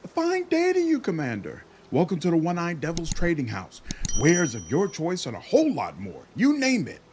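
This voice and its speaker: A man voicing a slippery, over-eager trader in a fantasy game, excitable and hurried. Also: a little high, background noise, pitched above the performer's own voice. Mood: salesmanlike.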